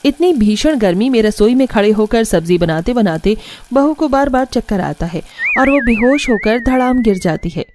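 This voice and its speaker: High-voiced woman narrating a cartoon story. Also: expressive, normal speed, hardly any pauses, a little mellow, slight background noise.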